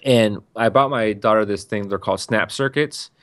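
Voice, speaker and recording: A man's voice: on the low side, moderate pace, fairly bright tone. A man chatting casually, rambling and often restarting his sentences as he tells stories. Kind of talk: casual podcast chat.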